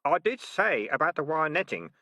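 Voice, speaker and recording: A man's voice: on the high side, sing-song, moderate pace, bright, forceful tone, no echo, pitched above the performer's own voice. A man voicing an earnest young boy who speaks firmly. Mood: explaining. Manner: firm, earnest.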